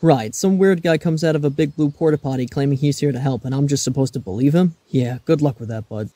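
A man's voice: on the high side, fast talker, mellow, lightly acted. A man playing a distrustful, emotionally broken young man in a fan audio drama, sarcastic and defensive. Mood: suspicious, defensive.

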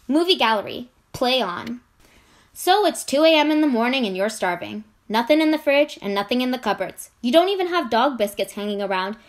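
A woman with a high-pitched voice performs scripted commercial copy. Her delivery is that of a voice-over artist, polished and conversational, pitched to sell to the listener. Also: expressive, brisk. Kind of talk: scripted advertising read.

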